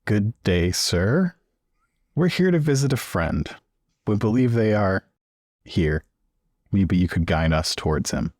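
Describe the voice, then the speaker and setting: A man voicing a polite, hesitant gentleman bluffing his way along in a tabletop game. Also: on the low side, lively intonation, unhurried, pauses often, no room sound, studio quality. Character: polite, bluffing gentleman visitor in a tweed jacket.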